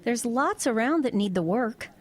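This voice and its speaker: A blunt, nosy small-town woman in an audio drama. Her voice sits fairly high and swings widely in pitch. She talks in plain, folksy banter and presses for answers. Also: expressive, moderate pace. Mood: skeptical, pressing.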